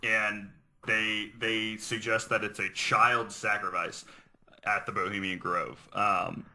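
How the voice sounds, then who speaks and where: Man, podcast host, lowish pitch, very bright forceful tone, hesitant conversational storytelling. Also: level tone, slow. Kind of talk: casual conspiracy-theory podcast chat.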